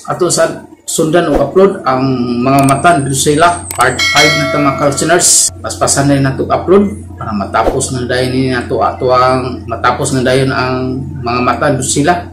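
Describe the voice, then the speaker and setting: A man's voice: mid-range voice, steady tone, moderate pace, over music. A Filipino man with a Bisaya accent chatting casually as host of a radio drama channel, reading out listener comments. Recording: roomy echo, faint background noise.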